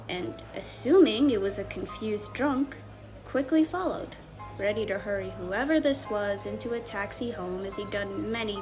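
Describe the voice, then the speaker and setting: Female actor as teasing bar storyteller, higher-pitched, unhurried, suspenseful ghost-story delivery over music. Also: natural voice, rough recording. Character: teasing bar storyteller spinning a spooky Halloween tale. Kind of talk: dramatic ghost-story narration.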